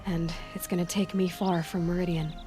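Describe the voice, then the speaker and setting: A woman plays a young hunter in a video game cutscene. Her voice is fairly low for a woman, and she speaks directly, with a guarded, independent air. Music plays behind her. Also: level tone, moderate pace, warm tone, natural voice. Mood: guarded, gentle. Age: young adult. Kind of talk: cinematic video game acting.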